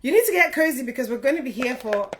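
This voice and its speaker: A woman's voice: high voice, lively intonation, quick pace, slight background noise. A middle-aged woman from Nigeria hosting an informal live Q&A, chatting directly to her viewers as a career adviser. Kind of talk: casual live stream Q&A chat to viewers.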